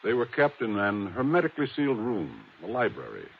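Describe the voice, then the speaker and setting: An actor playing a proper, earnest attorney in a 1950s radio mystery, courteous, formal and emphatic. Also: male voice, fairly low pitch, huge pitch swings, fairly quick, vocal fry, breathy edge, full character voice. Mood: explaining. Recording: thin phone-like vintage radio recording.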